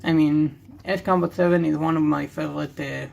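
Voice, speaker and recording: A young man with an Israeli accent, a native Hebrew speaker, rambling candidly and haltingly as he hosts a casual video. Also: fairly high pitch, fairly even intonation, creaky, darkish tone, highs cut off. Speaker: card-opening video host. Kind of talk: casual rambling commentary while opening cards.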